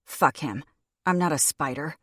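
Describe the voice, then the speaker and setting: A woman's voice: deep voice, measured pace, fairly bright tone, husky, no echo, studio quality, heavily acted. A woman voicing a defiant, bitter young captive. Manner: blunt, scornful, curses.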